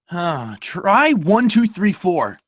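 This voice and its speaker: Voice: mid-range voice, very animated, slow pace. A performer playing a laid-back, joking young boy drone racer in a table read. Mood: teasing.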